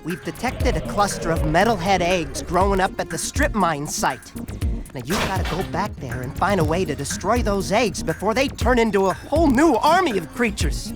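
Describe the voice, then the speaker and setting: An actor playing a jittery power station technician in a video game, anxious and high-strung. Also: male voice, expressive, normal speed, over music. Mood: worried. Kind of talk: video game cutscene acting.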